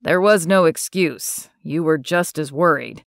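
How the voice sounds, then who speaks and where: A woman's voice: deep voice, a little slow, vocal fry, no echo, studio quality, pitched below the performer's own voice. Woman voicing an earnest young man.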